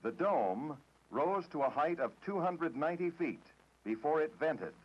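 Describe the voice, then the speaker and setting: A man's voice: a little high, animated, husky. American man narrating, dull vintage film sound. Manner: formal, measured, matter-of-fact. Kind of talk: scripted 1960s documentary narration.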